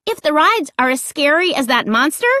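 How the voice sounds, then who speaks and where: A woman's voice: high-pitched, big pitch swings, normal speed, sharp tone, no echo, studio quality, pitched above the performer's own voice, exaggerated voice. A woman voicing a bold young girl with an eager, persuasive manner in an audiobook. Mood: confident.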